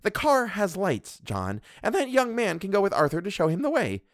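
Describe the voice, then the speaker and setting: A man's voice: sits high, wildly swooping pitch, normal speed, no room sound, clean studio sound, voice pushed up, full character voice. A man reading a story and voicing an imperious, fussy middle-aged woman who scolds and condescends. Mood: haughty scolding.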